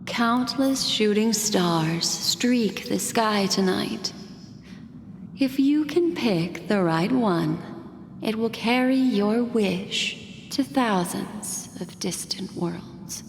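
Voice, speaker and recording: An actress playing a calm, mysterious storyteller in a game cutscene, speaking soothingly and deliberately, straight to the listener. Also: female voice, mid-range voice, gravelly, slight background noise, heavily acted. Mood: soothing, dreamy. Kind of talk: scripted game cutscene narration. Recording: echoey reverb with music behind. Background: music.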